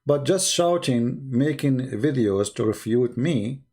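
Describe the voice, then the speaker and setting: Sarcastic, halting male debate host. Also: mid-range voice, animated, mellow, breathy edge. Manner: sarcastic, mocking, teasing; halting speech with frequent fillers. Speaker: host of a call-in debate show. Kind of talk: live call-in debate stream.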